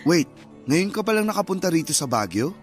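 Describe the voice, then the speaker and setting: A man's voice: sits high, very animated, brisk, faint music behind. A man acting a friendly, earnest young suitor with a Filipino accent in a radio romance drama.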